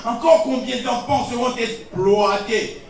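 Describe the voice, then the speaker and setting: A man performing slam poetry in a dramatic, solemn, declamatory way with deliberate pauses. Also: extremely high pitch, distant mic. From Togo. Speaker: slam poet competing on stage. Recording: echoey stage recording from afar, music behind.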